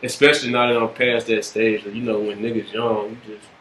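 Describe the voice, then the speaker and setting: A man's voice: fairly low pitch, little pitch movement, fairly quick, a bit bright. Laid-back young man in a roomy space. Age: 20s. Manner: laid-back, slangy, reflective. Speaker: podcast co-host. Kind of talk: casual podcast discussion. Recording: roomy echo with faint background hiss.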